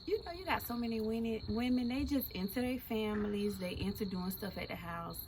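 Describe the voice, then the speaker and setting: A woman in her forties chatting casually and laughing loudly with an old friend for her video. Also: mid-range voice, brisk, background noise. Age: 40s. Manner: loud laughing, expressive, slangy. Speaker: vlog host.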